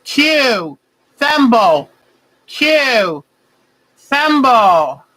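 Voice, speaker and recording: A woman, hosting a quilting bingo game and calling each clue twice, slowly and deliberately. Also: on the high side, very animated, fairly bright tone, poor audio. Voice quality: big voice.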